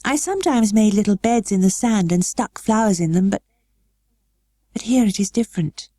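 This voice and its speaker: A woman's voice: medium pitch, animated, moderate pace, warm tone, no echo, voice pushed up, natural voice. A woman voicing a timid, earnest little girl who speaks in a hesitant, tremulous, pleading way for a storybook. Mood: shy, earnest. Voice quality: tremulous, breathy when nervous.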